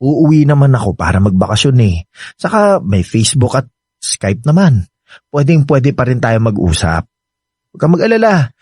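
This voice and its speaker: Young filipino boyfriend in radio drama, husky, swingy pitch, sweet n teasing, reassuring. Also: male voice, a little mellow, dry recording, studio quality. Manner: sweet-talking, playful, coaxing. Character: devoted, reassuring young boyfriend heading abroad.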